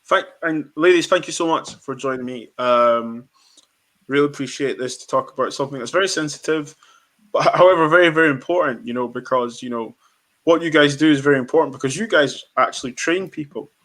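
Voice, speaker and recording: A man's voice: a little high, brisk, fairly bright tone. A man hosting a podcast, interviewing guests in a direct way with lots of fillers and repeated words. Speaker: podcast host.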